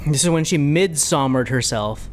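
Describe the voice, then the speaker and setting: Playful woman gamer with lively, swooping pitch. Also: moderate pace, a little hiss or hum. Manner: playful, exclamatory, jokey. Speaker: gamer reacting to a first playthrough. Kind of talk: casual gaming commentary. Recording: game music behind voice.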